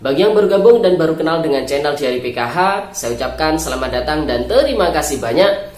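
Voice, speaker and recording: An Indonesian YouTube host speaks with a nasal, flu-congested voice in a roomy, echoing space. The pitch sits in the middle, and the delivery is explanatory and informational, addressed directly to the viewers. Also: animated, fast, a little mellow. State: stuffed-up nose from flu. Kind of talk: informational vlog narration, reading out an official letter. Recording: roomy echo on the voice.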